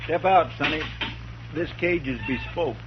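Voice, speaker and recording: An actor playing a loyal, eager-to-please deputy with a deferential drawl in a 1950s radio drama. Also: male voice, a little high, measured pace, natural voice. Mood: dutiful. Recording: muffled old radio broadcast with loud hiss and clicks.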